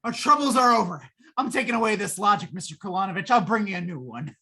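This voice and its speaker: Woman as a very animated, bright-voiced wisecracking schemer. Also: mid-range voice, slightly rough. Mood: relieved, smug. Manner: slangy fast-talking gangster-style patter. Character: wheedling, jittery wisecracking repairman Caldwell. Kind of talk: 1950s radio play acting. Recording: noisy live radio play stream.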